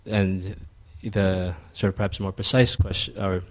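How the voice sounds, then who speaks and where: A young male writer with a very low, even voice answers questions at a public talk. He speaks reflectively, pausing, restarting and filling gaps with ums as he works through his thoughts. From Ethiopia.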